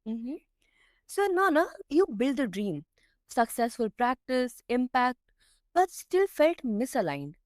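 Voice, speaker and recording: A woman's voice: high voice, very animated, slow pace, no room sound. A woman hosting a podcast, reading a polished introduction and asking interview questions in an upbeat way. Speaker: podcast host.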